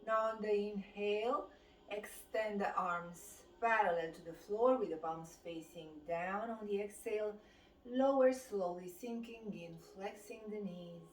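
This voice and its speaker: A woman with a Romanian accent leads a guided exercise class as the instructor, giving measured movement and breathing cues. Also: medium pitch. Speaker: fitness and qigong instructor. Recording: distant mic, echoey room, background noise.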